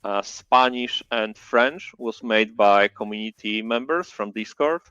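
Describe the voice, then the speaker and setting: Casual Polish-accented man on a video call, halting speech with fillers, smooth timbre. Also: fairly low pitch, bright, forceful tone, no room sound, highs cut off. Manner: halting non-native English, many fillers. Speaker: indie game developer guest. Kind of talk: casual interview chat.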